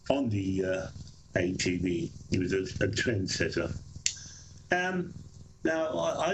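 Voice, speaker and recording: Man, chatty amateur radio host, noisy line. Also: on the low side. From Australia. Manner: friendly, rambling with fillers. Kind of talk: casual live stream talk. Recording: noisy stream audio.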